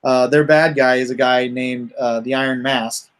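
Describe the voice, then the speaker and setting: A man in a casual unscripted chat, explaining his creative project in a halting way as he searches for words. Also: mid-range voice, fairly even intonation, clear, resonant tone.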